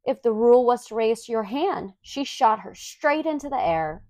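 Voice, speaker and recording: A woman reads a children's story aloud in an expressive, clearly enunciated storytelling manner. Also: on the high side, moderate pace, no room sound. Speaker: storybook reader.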